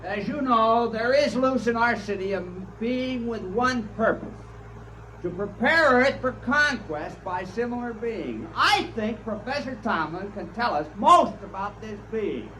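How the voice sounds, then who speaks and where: A nervous, official town mayor with a very high, forceful voice. He speechifies formally in 1950s radio style. Faint hiss runs through the recording. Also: expressive, a little slow, heavily acted, low-quality recording. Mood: tense, formal. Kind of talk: 1950s radio drama acting. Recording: hissy 1950s radio broadcast.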